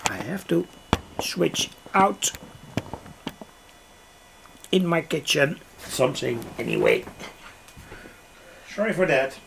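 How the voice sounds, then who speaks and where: A hobbyist giving an unscripted demo at home, explaining piece by piece in a halting way with frequent pauses. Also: mid-range voice, huge pitch swings, vocal fry, fairly bright tone. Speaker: hobbyist demonstrator. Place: home, indoors near a kitchen. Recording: noisy room with radio static. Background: radio noise.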